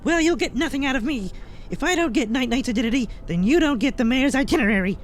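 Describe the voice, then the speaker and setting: A woman's voice: sits high, animated, fast talker, faint music behind. A woman playing a bitter, defiant, foul-mouthed masked villainess with a snappish manner in an audio cartoon.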